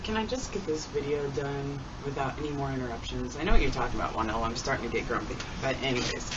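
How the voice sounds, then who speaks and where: A woman's voice: rumbling low, fast talker. A woman vlogger telling stories in a rambling, folksy, chatty way with homespun phrases. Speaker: vlogger doing a tag video. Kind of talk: rambling casual storytelling. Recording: distant mic, dull sound, noisy background.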